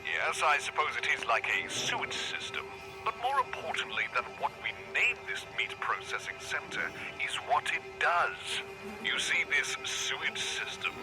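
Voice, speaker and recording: A pompous tycoon of enormous wealth played by a man, smug and condescending to everyone beneath him. His pitch swoops theatrically through satirical radio-interview speeches, with music playing clearly behind him. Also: lightly acted, poor audio.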